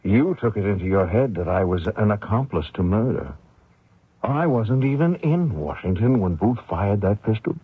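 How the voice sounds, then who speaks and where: An actor playing a haunted American fugitive, grave, earnest and defensive, in an old radio drama. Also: male voice, on the low side, big pitch swings, normal speed, slightly rough, no echo, phone-quality sound, exaggerated voice. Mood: pleading. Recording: thin dry old radio recording.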